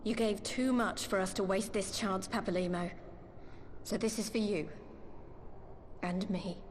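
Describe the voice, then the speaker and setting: An actress playing an earnest, plain-spoken young heroine in a video game cutscene, thoughtful and resolute. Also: female voice, mid-range voice, steady tone, a little slow, slight background noise, natural voice. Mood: solemn.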